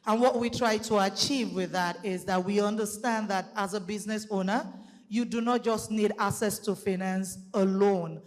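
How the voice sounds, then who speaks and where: A Nigerian woman speaking at a conference, loud-voiced and methodical as she explains programs with light fillers. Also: medium pitch, fairly even intonation, moderate pace. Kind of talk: public presentation. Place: conference hall. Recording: long hall reverb.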